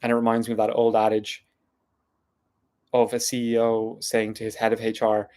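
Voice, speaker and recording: A man's voice: on the low side, completely flat delivery, normal speed, darkish tone. An Irish man talking through an unscripted, reflective vlog monologue in a thoughtful, meandering way. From Ireland. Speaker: vlogger.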